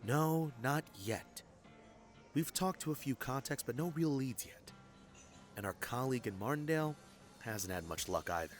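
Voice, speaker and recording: A man's voice: fairly high pitch, very animated, normal speed, sharp tone, faint music behind. A man playing a tired, friendly detective in an audio drama, courteous and familiar in manner. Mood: regretful. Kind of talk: naturalistic audio drama dialogue.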